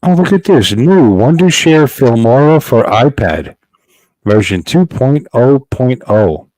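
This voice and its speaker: Animated, jokey middle-aged man. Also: medium pitch, a little slow, low-quality recording. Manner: playful, rambling, jokey. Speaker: livestream host. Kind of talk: casual joking livestream chat.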